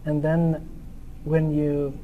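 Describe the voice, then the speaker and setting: A man's voice: on the high side, completely flat delivery, takes it slowly, phone-quality sound, a little hiss or hum. A middle-aged Swedish man with a Swedish accent, interviewing a guest in a friendly, hesitant way. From Sweden. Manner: friendly, hesitant, many fillers and restarts. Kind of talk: casual interview. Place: indoor lounge, seated at a table.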